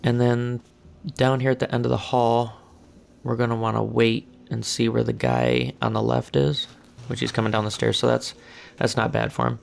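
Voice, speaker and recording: Hoarse man calmly guiding a game. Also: mid-range voice, moderate pace. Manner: calm step-by-step instructions. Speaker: gameplay walkthrough narrator. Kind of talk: casual game walkthrough commentary.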